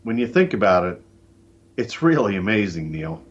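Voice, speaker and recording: A man answering as an interview guest in a deliberate, earnest, conversational way with frequent pauses. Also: on the low side, very animated. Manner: deliberate, earnest, pausing often. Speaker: interview guest, event organizer. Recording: muffled, band-limited call-in audio.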